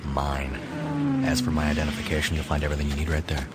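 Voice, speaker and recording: Space-opera loner: extremely deep, cool, defiant, over heavy background noise. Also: male voice, monotone, quick pace. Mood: cool, sly. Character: calm, menacing scarred male loner in space opera. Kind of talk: sci-fi audio drama acting. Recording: noisy sound effects behind voice.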